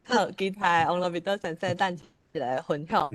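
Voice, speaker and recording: Female event host, Taiwanese accent, lower register, dry close sound, polite and slightly halting delivery. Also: no echo. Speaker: host of an online literary forum. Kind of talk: informal event hosting.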